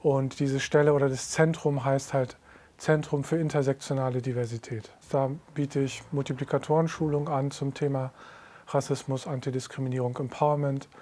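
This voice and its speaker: A man leading an anti-discrimination project, giving a measured interview statement with steady lists. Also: mid-range voice. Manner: steady listing, measured delivery.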